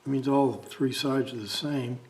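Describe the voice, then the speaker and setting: A man talking casually and haltingly, thinking aloud as he demonstrates a drawing. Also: mid-range voice, steady tone, darkish tone, poor audio. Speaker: amateur artist demonstrating a drawing. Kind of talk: casual talk while drawing.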